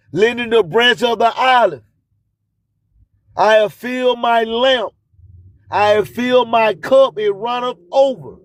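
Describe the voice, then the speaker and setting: Slow, high-pitched preaching radio host, male. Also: dry recording, studio quality. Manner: preachy, emphatic, repeats catchphrases. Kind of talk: slow spoken-word motivational monologue.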